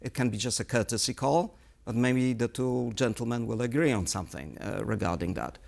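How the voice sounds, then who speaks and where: Gravelly man giving analysis. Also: medium pitch, a little mellow. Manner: measured, explanatory, analytical. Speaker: guest political analyst on a current affairs show. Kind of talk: studio interview commentary.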